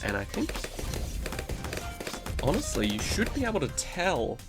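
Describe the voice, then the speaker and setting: A man's voice: a little high, wildly swooping pitch, measured pace. Man, stuffy nose, nasal, rambling casual chat, swears, music underneath. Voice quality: nasal, congested from a cold. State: recovering from a cold, stuffy nose. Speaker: gaming channel host. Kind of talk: casual commentary over gameplay.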